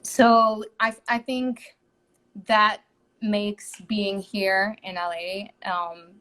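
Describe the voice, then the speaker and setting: A woman's voice: mid-range voice, flat delivery, extremely slow, a bit bright. Chatty Dutch woman singer. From Netherlands. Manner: chatty, laughs between thoughts. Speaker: guest singer. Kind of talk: casual interview over live stream.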